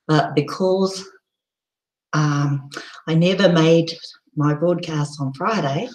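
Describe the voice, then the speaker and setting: A low-voiced woman with a hoarse, croaky edge talks slowly through a casual live stream. She rambles along with plenty of ums and false starts, and her voice rings in an echoey room. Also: a little mellow. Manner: rambling, lots of ums and restarts.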